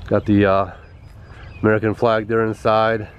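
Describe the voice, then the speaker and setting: Guy with a stuffy nose, low monotone, outside with a bit of background noise, lots of uhs and pauses. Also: highs cut off. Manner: halting, with many pauses and fillers. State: stuffed-up, congested voice. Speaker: vlogger. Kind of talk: casual walk-around vlog narration. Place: outdoors at a rural schoolhouse.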